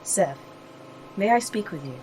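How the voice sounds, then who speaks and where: An actress playing a polite, literal-minded artificial intelligence in a sci-fi audio drama, formal and deadpan. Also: female voice, medium pitch, measured pace, slight background noise, lightly acted, rough recording. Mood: formal, earnest. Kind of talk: audio fiction acting.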